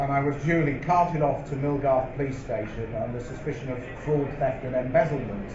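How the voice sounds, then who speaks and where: A middle-aged man, telling stories in a live on-stage interview, measured and full of ums and restarts. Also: mid-range voice. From England. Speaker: interviewee, company founder. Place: event hall with a live audience. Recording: roomy, dull live hall recording with noise.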